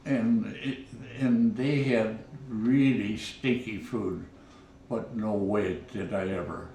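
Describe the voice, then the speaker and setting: A man in his nineties from Minnesota, reminiscing in an interview at home, halting and repeating words as he goes. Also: fairly low pitch, fairly even intonation, warm tone, reverberant, recorded from a distance, slight background noise. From Minnesota, USA. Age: 90s. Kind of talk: oral history reminiscing. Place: living room at home.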